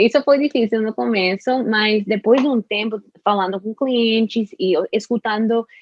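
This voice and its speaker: A young woman, a native Spanish speaker, talking in an interview about learning Portuguese, with careful, halting speech and restarts. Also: fairly high pitch, a little mellow, dry recording, highs cut off. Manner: slow, careful speech with frequent restarts and laughs. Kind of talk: casual conversational interview.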